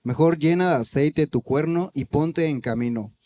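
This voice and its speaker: A man, the local pastor, reading a Bible passage aloud in a steady voice. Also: on the high side, normal speed.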